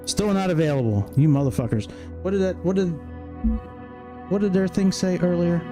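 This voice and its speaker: A man's voice: high-pitched, moderate pace, over music. A man giving casual, grumbling, self-deprecating livestream commentary on a flight sim game, swearing freely.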